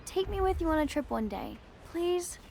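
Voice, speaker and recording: A woman's voice: extremely high pitch, sing-song, moderate pace, vocal fry, background noise, full character voice. A woman voicing a young girl, an eager, playful royal daughter, in a video game. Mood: earnest, curious.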